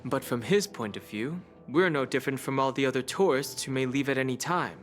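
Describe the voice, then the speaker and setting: A level-headed, analytical adventurer acted by a man in a video game. His medium-pitched voice is dry and matter-of-fact over soft background music. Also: a little fast, close to an everyday voice. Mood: explanatory. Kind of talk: game cutscene voice acting.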